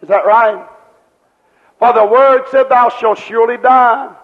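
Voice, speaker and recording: A man's voice: very high voice, a little slow. A man preaching a sermon with fervent rhetorical questions and repeated refrains. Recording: dull lo-fi recording with long hall reverb.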